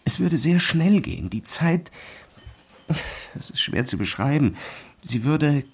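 An actor playing an obsessive, distracted physicist who speaks haltingly and intensely in a radio drama. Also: male voice, fairly high pitch, big pitch swings, a little mellow, slightly rough, full character voice. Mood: fervent, absorbed. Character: obsessive, distracted male physicist sure of his discovery.

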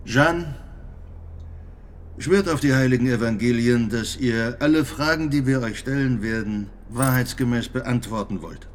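An actor in a radio drama playing a stern church judge who interrogates a prisoner in a formal, insistent, authoritative way. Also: male voice, medium pitch, measured pace, a little hiss or hum, natural voice. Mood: stern, official.

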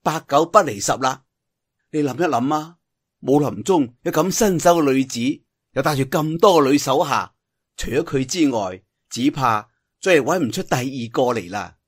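A male storyteller puts on a clever young wuxia heroine with a Cantonese accent. She speaks in a soft, teasing, knowing way, slightly husky, with supple changes of pitch. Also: lively intonation, moderate pace. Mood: confident. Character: clever young woman, teasing, composed martial arts heroine.

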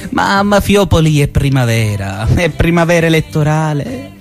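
A man with a Sicilian accent performing a satirical theatrical monologue, mocking and swinging between a sing-song lilt and sudden shouts. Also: on the high side, very animated, moderate pace, soft background music. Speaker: actor in a one-man radio show. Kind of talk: satirical theatrical monologue mimicking a radio host.

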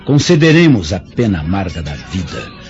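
Líder rebelde vingativo, voz bem variada. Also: male voice, low-pitched, huge pitch swings, moderate pace, darkish tone. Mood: vengeful, solemn. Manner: grandiloquent, theatrical declamation. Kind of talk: radio drama acting. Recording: dull radio-drama recording, faint music behind.

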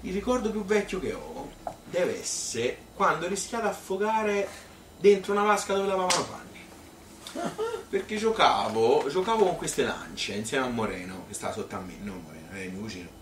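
A man playing a nostalgic Tuscan father who recalls his childhood in a halting, colloquial stage monologue. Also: fairly high pitch. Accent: Tuscan Italian. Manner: halting, stuttering over words, colloquial, animated. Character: nostalgic Tuscan father born 1951, rambling childhood memories. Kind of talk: spoken theatre monologue, folksy reminiscence. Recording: roomy theatre, distant mic, faint noise.

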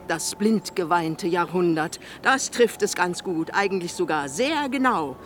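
A woman's voice: lowish voice, a bit bright, slight background noise. An actress playing a sick, eccentric older mother in a German radio play, theatrical, reproachful and sarcastic. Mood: scornful. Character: sick, eccentric old mother, bitter, manipulative, scolding her son.

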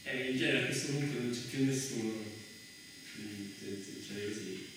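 A man performing a theatrical comic monologue as a solo stage actor, halting and interrupting himself, then swelling into declamation. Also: mid-range voice, background noise. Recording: echoey hall, far from the mic.

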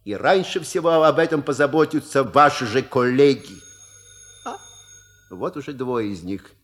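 A man playing a shrewd, courteous manservant in an audio play, with measured, ironic and rhetorical delivery. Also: medium pitch, animated, fairly bright tone, close to an everyday voice. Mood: grandly oratorical. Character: suave, shrewd manservant and proud father. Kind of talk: theatrical audio play acting.

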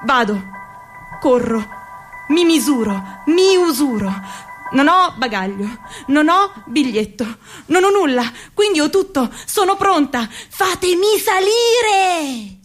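A woman's voice: high voice, big pitch swings, takes it slowly, exaggerated voice. An actress performing a restless young woman who dreams of her own startup, in a rhythmic, poetic theatrical monologue. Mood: urgent. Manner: rhythmic, incantatory wordplay. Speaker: narrator and lead actor. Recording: music playing behind. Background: music.

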